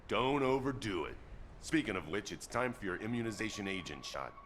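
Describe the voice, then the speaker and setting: Gruff squad leader man from a game, real low voice, no nonsense, faint background noise. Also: expressive, fairly quick, fairly bright tone. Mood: caring, firm. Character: gruff, responsible squad leader, looks after team. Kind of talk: video game voice acting.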